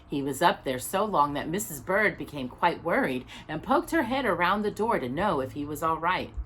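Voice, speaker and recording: Animated woman reading a children's story. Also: low-pitched, normal speed, a little hiss or hum, poor audio. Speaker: storytime host reading aloud. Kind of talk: expressive children's storybook narration.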